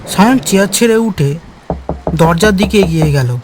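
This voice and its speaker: High-pitched man narrating horror. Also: animated, normal speed, noisy background. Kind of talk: scripted horror story narration.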